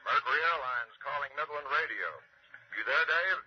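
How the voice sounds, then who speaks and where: A man's voice: fairly high pitch, moderate pace, breathy edge. A man acting a terse airline radio caller in a 1960s radio drama. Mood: matter-of-fact. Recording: dull radio transmission with faint noise.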